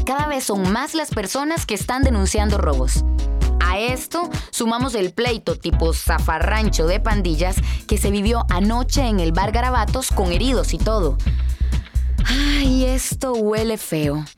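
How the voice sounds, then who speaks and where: A chatty small-town radio host, played by a woman with a Costa Rican accent, her voice sitting a little high. She sighs expressively as she talks. Clear music plays under her in the radio drama. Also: animated, normal speed, lightly acted. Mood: concerned. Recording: music bed behind voice.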